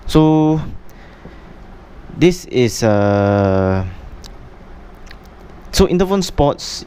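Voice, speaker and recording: Mellow-voiced man giving a casual walkthrough. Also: medium pitch, clean tone. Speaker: motovlogger presenting a product unboxing. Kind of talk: casual unscripted product walkthrough.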